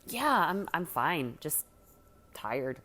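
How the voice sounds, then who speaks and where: A woman voicing a sharp-tongued but caring teenager in a read-aloud story. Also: low voice, very animated, slow, exaggerated voice. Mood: evasive.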